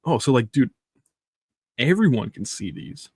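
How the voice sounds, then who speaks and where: A man's voice: medium pitch, very animated, measured pace, darkish tone, slightly rough, no echo, clean studio sound. A man with a stuffy nose from the flu, chatting casually and rambling on a live stream. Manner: rambling, chatty, reads comics aloud.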